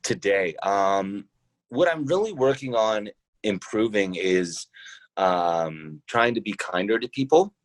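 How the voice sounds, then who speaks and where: A man's voice: low-pitched, no echo, poor audio. A man speaking as an interview guest, casual and reflective, hesitantly thinking his answers through aloud. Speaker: podcast guest, show creator.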